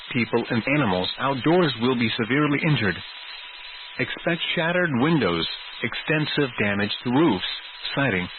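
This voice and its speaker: A synthetic man's voice at an average pitch delivers formal weather alerts over a tinny, narrowband radio. The reading is measured and impersonal, like a scripted automated bulletin. Clear static noise runs along with the voice. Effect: synthesized text-to-speech voice.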